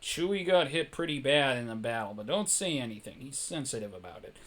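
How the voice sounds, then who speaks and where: Space-adventurer man, voiced by a storyteller, casual, blunt and friendly, mid-range pitch. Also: lively intonation, fairly quick, fairly bright tone. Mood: concerned.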